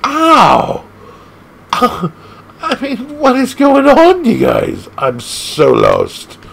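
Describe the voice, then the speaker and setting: A man casually commenting on an arcade game as he plays, excitable and laughing often, leaping from squeaky highs to low growls. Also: very slow, vocal fry, slightly rough. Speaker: gaming channel host.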